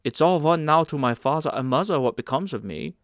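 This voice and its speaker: A man putting on a higher-pitched, naive young emigrant. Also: expressive, a little fast, no room sound, clean studio sound. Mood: eager, curious. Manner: earnest, hesitant, eager. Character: naive, anxious, polite young male emigrant. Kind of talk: audiobook character acting.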